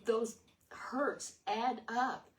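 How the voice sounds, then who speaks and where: An older woman with a raspy voice giving an earnest, rambling devotional talk full of restarts. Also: a little high, steady tone, very slow. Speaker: devotional video host. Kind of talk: informal devotional sermon. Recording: distant mic with faint noise.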